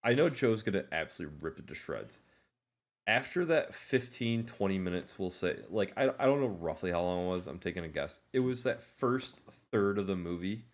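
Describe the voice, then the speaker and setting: A man with a low voice talks as a podcast co-host. His delivery is casual and conversational, rambling through retellings with animated exaggeration. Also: fairly quick. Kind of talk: casual movie discussion chat.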